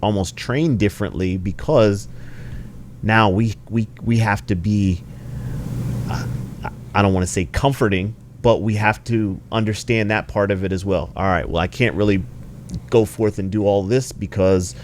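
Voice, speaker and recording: A man co-hosting a podcast as a basketball trainer, speaking slowly and earnestly and often agreeing. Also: on the low side, fairly even intonation, a little hiss or hum. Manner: slow, earnest, agreeable with frequent affirmations. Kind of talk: casual podcast chat.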